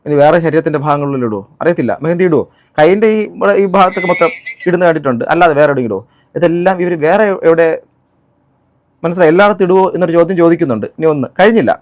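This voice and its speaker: A man's voice: a little high, lively intonation, very fast. A man giving spoken commentary on a clip, indignant and full of rhetorical questions. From Kerala, India. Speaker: reaction vlogger.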